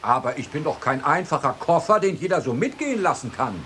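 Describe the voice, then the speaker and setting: An actor in a German radio drama playing a melancholy, courteous sea captain with a formal way of speaking. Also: male voice, on the high side, animated, a little fast, fairly bright tone, slight background noise. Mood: urgent.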